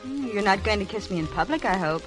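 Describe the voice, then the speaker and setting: A woman's voice: mid-range voice, expressive, quick pace, over music, close to an everyday voice, low-quality recording. Woman acting a coy witty girl of nineteen, dry teasing comebacks, old 1930s radio sound. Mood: playful, teasing. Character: coy, quick-witted nineteen-year-old young woman.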